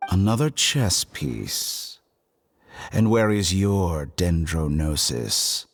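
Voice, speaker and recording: A deep-voiced game villain who swoops through his phrases. He is smug and scheming, a scholar who takes his time and treats every exchange as a courteous bargain. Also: expressive, gravelly, slight background noise, heavily acted. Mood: sly, bargaining. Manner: smug, deliberate, mock-courteous. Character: scheming, arrogant, unhurried man, a foreign harbinger and scholar. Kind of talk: video game voice acting, theatrical dialogue.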